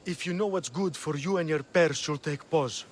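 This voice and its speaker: An actor playing a pompous, wealthy older exile, smug and condescending, in a video game cutscene. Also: male voice, a little high, normal speed, a little hiss or hum, natural voice. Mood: urgent. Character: pompous, wealthy old exiled Athenian man.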